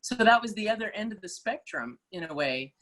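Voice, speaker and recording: A middle-aged woman opera singer, speaking as a guest in a relaxed interview, thoughtful and full of small restarts and fillers. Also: medium pitch, fairly quick. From Malaysia. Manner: thoughtful, many restarts and fillers, laughs easily. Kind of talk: casual interview chat.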